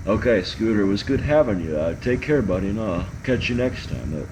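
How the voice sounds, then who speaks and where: A man's voice: fairly low pitch, expressive, fairly quick. A man hosting a casual livestream chat, joking around and rambling with lots of ums and restarts. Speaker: livestream host reading chat. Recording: clear background hum.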